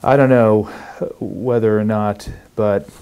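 A man's voice: on the low side, fairly even intonation, slow, mellow, clean tone. A middle-aged man playing a blunt, profane state politician chatting casually in a dramatized phone call. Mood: measured, frank. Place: small kitchen, indoors.

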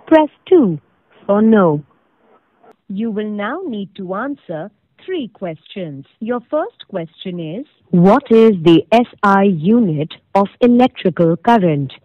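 A woman with an Indian accent reading formal, scripted automated phone prompts in a measured way over a phone line. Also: mid-range voice, no echo. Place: recorded phone call. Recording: tinny narrow phone line recording.

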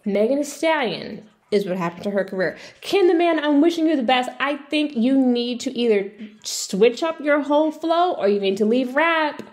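A woman with a fairly high voice talks casually and bluntly, giving her opinions as a video commentator. She sounds exasperated and swears freely as she goes. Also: animated, moderate pace, a little mellow.

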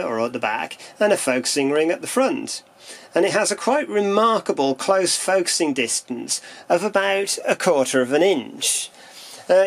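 A man calmly and methodically explaining how camera lenses work, in a demonstration voice-over. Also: a little high. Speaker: camera lens reviewer.

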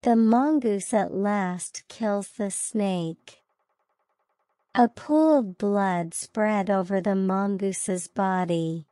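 A woman tells a children's fable as a scripted narration. She takes her time with a slow delivery, and her voice sits at an ordinary middle pitch for a woman. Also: mellow, no room sound. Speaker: storyteller.

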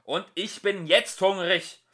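A man voicing a selfish, scornful young wizard in a storybook, shouting harshly. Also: high voice, steady tone, slow, breathy edge, voice pushed up, heavily acted. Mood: furious. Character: selfish bad-tempered young male wizard, scornful of villagers.

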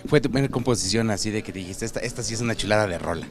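A man's voice: lowish voice, racing. A young Mexican man interviewing a guest at an indoor expo booth with a handheld mic, asking friendly, slangy questions. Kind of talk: casual entertainment interview.

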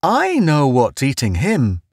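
Man playing high-pitched, gleeful, teasing spaceman buddy. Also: big pitch swings, a little slow, dry recording, clean studio sound, heavily acted. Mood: sly, knowing. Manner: gleeful, teasing, folksy wisecracking. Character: jovial, needling, giggly spaceman pal, personal affairs officer. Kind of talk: audiobook character voice.

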